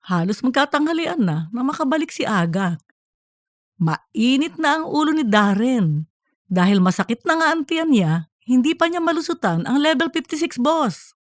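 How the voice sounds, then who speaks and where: A woman reading scripted comedic fiction as an audiobook narrator, in a lively storytelling way. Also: high-pitched, normal speed, no echo, studio quality.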